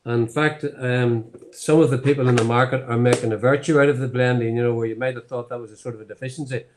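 A man's voice: medium pitch, fairly even intonation, fast, background noise. A man in his seventies with a Northern Irish accent, a distillery founder telling his story in a rambling way with many fillers, on a video call from a home office. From Northern Ireland. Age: 70s. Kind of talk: online tasting conversation. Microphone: laptop webcam on video call.

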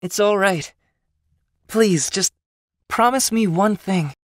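Breathy, regal young royal. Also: female voice, mid-range voice, no echo, studio quality. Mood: pleading, solemn. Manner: earnest, deliberate. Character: youthful royal, gentle, regal and composed. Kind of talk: dramatic game voice acting.

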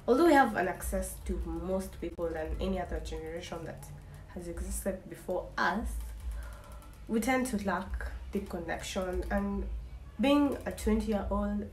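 A woman's voice: mid-range voice, measured pace, a little mellow. A woman in her twenties talking to camera in a casual vlog, candid and wry, rambling with frequent restarts. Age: 20s. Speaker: vlogger. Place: small indoor room. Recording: echoey room, faint ambient hiss.

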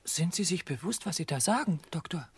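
An actress playing a devoted daughter under suspicion in a radio drama, anxious and defensive, with pleading questions. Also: female voice, fairly low pitch, animated, brisk, breathy edge, heavily acted. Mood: anxious, wary. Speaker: doctor's suspected patient's daughter.

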